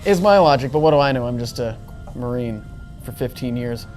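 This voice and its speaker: A man's voice: mid-range voice, expressive, a little fast, a little mellow. Man reasoning through a game plan. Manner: reasoning, persuasive. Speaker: tabletop role-playing player. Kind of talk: casual in-character group discussion. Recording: background music under the voice.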